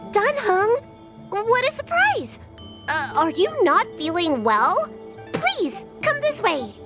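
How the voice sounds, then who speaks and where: A young girl healer from a video game, with a high, very animated voice. She is earnest and easily flustered, carrying herself like a proud little professional. Music plays behind her. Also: slow pace, pauses often, heavily acted. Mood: surprised, helpful.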